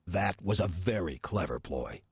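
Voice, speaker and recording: An actor playing a sly, smooth-talking demon who poses as a kindly old church deacon, speaking with measured, insinuating courtesy in a horror audio drama. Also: male voice, low-pitched, expressive, no room sound, full character voice. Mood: sly politeness. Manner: measured, insinuating, mock-polite.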